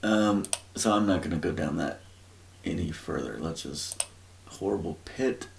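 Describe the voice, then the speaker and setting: A man talks through scripture in a slow, rambling way, often stopping to search for the right word. His voice sits very low with a gravelly rasp. A faint hiss runs under the recording. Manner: rambling, searching for words, many fillers. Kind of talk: informal religious teaching, thinking aloud.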